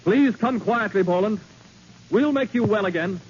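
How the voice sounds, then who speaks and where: An actor playing a steady, authoritative man leading a capture party in a 1940s radio drama, firm and measured. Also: high voice, lightly acted. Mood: urgent pleading. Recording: noisy, dull 1940s radio recording.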